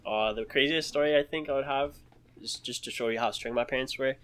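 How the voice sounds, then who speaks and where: A man's voice: mid-range voice, a little fast, fairly bright tone, clear, resonant tone. Laid-back young man telling stories. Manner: laid-back slang, laughs through stories. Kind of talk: casual storytelling chat.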